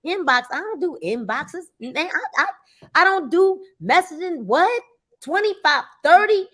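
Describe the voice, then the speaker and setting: A woman in her fifties telling animated, playful stories while coaching on network marketing. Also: very high voice. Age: 50s. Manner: animated storyteller, quick asides, playful. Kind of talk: motivational chat.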